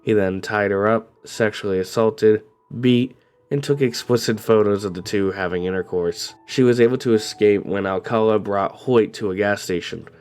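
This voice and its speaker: A man hosting and narrating a true crime story in a somber, steady way, his voice slightly raspy from a cold. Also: fairly low pitch, moderate pace, soft background music. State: coming down with a cold. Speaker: host.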